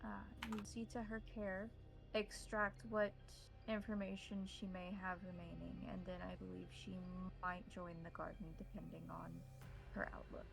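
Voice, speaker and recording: A woman speaking as game master in measured, formal phrases, improvising roleplay and narration. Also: lowish voice, little pitch movement, gravelly, soft background music, poor audio.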